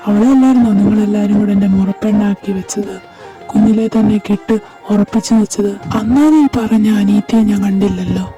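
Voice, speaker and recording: A woman voicing an angry, protective older brother who confronts a rival in loud, accusing bursts. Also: medium pitch, fairly even intonation, a little fast, natural voice. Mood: furious. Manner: shouting, confrontational. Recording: distant mic over clear music.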